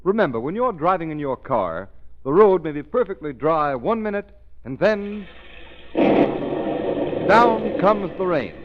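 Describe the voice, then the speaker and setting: A man announcing a radio program and reading a sponsor's pitch in a 1930s broadcast, emphatic and persuasive. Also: sing-song, a little slow, long pauses, heavy background noise. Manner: emphatic, persuasive salesman's delivery. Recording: dull old radio broadcast.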